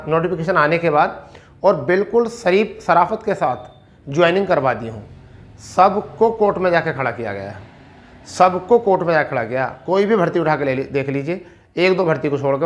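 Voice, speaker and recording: A man with a slightly high voice talks to students like a coaching teacher. His explanation is informal, and he repeats important phrases to stress them. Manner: repeats key phrases for emphasis. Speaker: exam coaching teacher giving recruitment updates.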